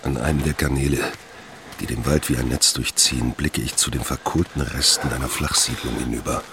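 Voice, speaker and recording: An actor playing a weary, limping fugitive, narrating a literary German radio drama in a brooding manner. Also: male voice, extremely low pitch, fairly even intonation, a little fast, background noise. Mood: brooding, wary. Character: weary limping man fleeing alone through a forest.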